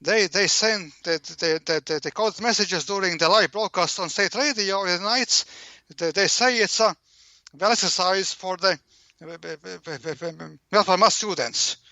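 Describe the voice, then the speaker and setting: A man explaining as an interview guest, halting and repeating words over and over as he searches for the next one. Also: sits high, pushed and bright, no room sound. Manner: halting, heavily stammered repetitions of words. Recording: dull, lo-fi call-in audio.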